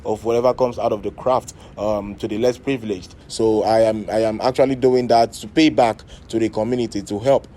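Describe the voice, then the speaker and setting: Reflective Nigerian man, very dark voice. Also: fairly low pitch, steady tone, a little fast, hardly any pauses, a little hiss or hum. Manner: thoughtful, hesitant with many fillers. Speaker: artist contributor. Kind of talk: reflective interview answer.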